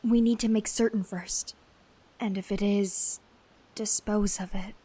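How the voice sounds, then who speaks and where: A woman's voice: mid-range voice, fairly even intonation, measured pace, mellow, slightly rough, poor audio. A woman playing a practical, resolute female cleric in a fantasy audio drama. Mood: serious.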